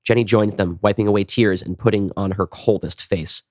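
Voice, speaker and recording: A man's voice: lowish voice, steady tone, a little fast, darkish tone, no room sound, clean studio sound. A man giving scripted narration for an action audio drama.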